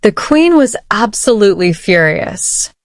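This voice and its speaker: A woman narrating a children's picture book aloud with measured, careful phrasing. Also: medium pitch, sing-song, no room sound, studio quality. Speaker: storybook narrator. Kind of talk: children's read-aloud storytelling. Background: soft music.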